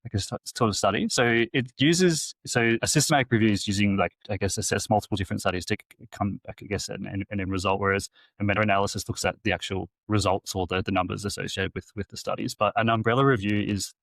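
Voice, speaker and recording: A man co-hosting a podcast, rambling and hesitant, trailing off quietly at the ends of his sentences. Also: on the low side, fast, creaky, few pauses, no echo. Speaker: podcast co-host, coach. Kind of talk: casual podcast chat.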